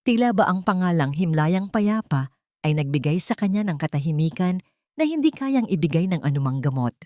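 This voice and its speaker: A woman's voice: fairly low pitch, sing-song, fairly quick, darkish tone, no room sound, clean studio sound. A woman reading a scripted, dramatic first-person audio story as its narrator.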